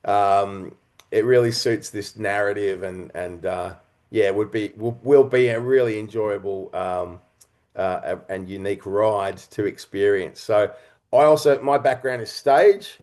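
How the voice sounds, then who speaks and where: A man speaking as an interview guest, earnest and reflective, explaining his creative work in halting, conversational phrases. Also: fairly low pitch, a little mellow. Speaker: interview guest, writer-director and actor.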